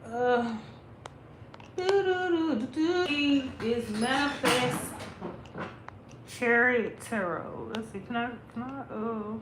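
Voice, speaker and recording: A woman's voice: a little high, slow, pauses often, noisy background. A woman in her late thirties, chatting casually and profanely with her viewers. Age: 30s. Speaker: livestream host. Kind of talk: casual live chat. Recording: roomy sound with music behind.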